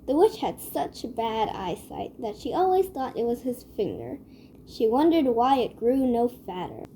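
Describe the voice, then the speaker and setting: A woman reads a children's story aloud in a measured way. Her voice sits fairly high but has a dark, mellow tone. There is a faint noise behind her. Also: very animated. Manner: measured read-aloud delivery. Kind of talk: scripted children's story narration.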